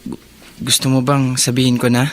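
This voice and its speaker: Man playing a hesitant, gentle Filipino older brother. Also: medium pitch, completely flat delivery, moderate pace, a little hiss or hum, natural voice. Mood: apologetic. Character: apologetic older brother visiting a jailed sibling. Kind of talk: Filipino radio drama acting.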